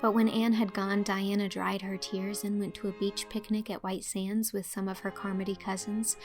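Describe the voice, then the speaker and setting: Female narrator, scripted storybook reading, mid-pitched voice, clear musical backing. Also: flat delivery, moderate pace, faint music behind.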